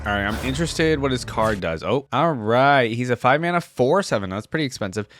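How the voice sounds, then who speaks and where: A man's voice: medium pitch, lively intonation, fairly quick. A man hosting a reaction video, giving casual, informal and exclamatory commentary.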